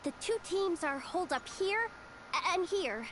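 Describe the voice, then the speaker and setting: Excitable young game scout: extremely high voice, flustered, clear background noise. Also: female voice, measured pace, exaggerated voice. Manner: exclamatory, hesitant, flustered.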